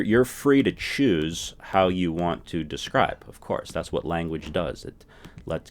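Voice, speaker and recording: A man teaching a recorded language lesson. His voice sits very low, and he walks through each point patiently, often checking in with "right?" as he goes.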